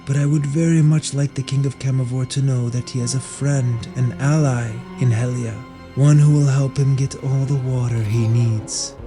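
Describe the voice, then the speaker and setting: A man playing a scheming warden in a fantasy audio drama, speaking in a formal, measured, conspiratorial way. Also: mid-range voice, steady tone, over music, natural voice. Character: scheming male warden offering secret aid for reward.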